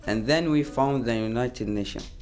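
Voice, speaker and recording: A man from Syria tells his story in plain, halting English. His voice has a middle pitch for a man. Soft music runs quietly behind him. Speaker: refugee interviewee. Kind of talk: personal testimony.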